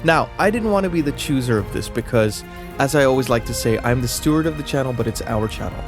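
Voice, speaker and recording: A man's voice: medium pitch, animated, fast, music in the background. A man hosting a book channel, chatting casually and rambling with lots of filler words. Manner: rambling, many "kind of" fillers. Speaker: booktube channel host. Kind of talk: casual chat to camera.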